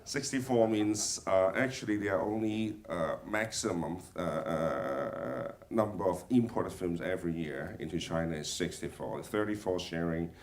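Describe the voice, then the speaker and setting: A man speaking off the cuff as a film industry panelist, haltingly, with frequent stammers and repeated words. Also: deep voice, far from the mic. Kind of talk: unscripted panel remarks. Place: panel at a film market event.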